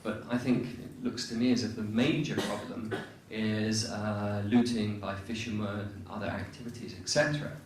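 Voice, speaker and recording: A man from Europe with a fairly low voice asks a conference panel a question in an articulate, deliberate way. He sounds distant, in a strongly echoing hall with faint noise. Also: steady tone. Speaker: conference speaker asking a question. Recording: very echoey hall, far from mic.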